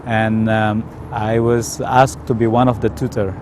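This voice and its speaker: A man from Indonesia with an Indonesian accent, telling his personal story in a hesitant, careful way with frequent pauses. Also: fairly low pitch, level tone, background noise. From Indonesia, South Sumatra. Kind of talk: unscripted personal testimony.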